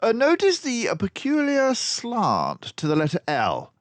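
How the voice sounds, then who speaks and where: A man's voice: very high voice, wildly swooping pitch, unhurried, dry recording, voice pushed up, heavily acted. A man voicing a clever, confident mouse detective from a storybook, with an emphatic, precise, lecturing manner. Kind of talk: storybook character voice.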